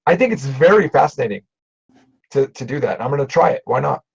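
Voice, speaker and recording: Gravelly middle-aged man on a lo-fi stream. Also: medium pitch, a little fast. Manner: animated, self-deprecating, swears casually. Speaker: Japanese teacher and show host. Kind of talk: casual livestream conversation. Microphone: video call.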